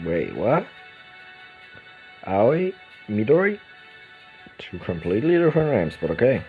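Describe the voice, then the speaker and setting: Man, let's play reader, unhurried with lively intonation, stumbles now and then. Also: lowish voice. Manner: reads narration slowly with small stumbles and restarts. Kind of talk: reading a visual novel's text aloud. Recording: dull lo-fi recording with game music behind.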